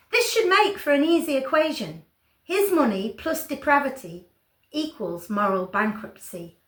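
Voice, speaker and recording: Woman, high voice, unhurried and deliberate spoken-word delivery, recorded in a roomy, echoing space. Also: a bit bright. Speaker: poetry performer. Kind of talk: dramatic spoken-word poetry reading.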